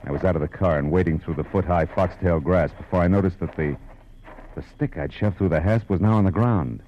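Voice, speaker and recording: Male private detective, played by an actor, low-pitched, cool and commanding, terse noir delivery, dull vintage radio sound. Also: fairly quick, slight background noise, close to an everyday voice. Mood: tense, brooding. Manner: clipped, tough, world-weary. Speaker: lead actor and narrator. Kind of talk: 1940s radio noir acting and narration. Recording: muffled old radio recording with music.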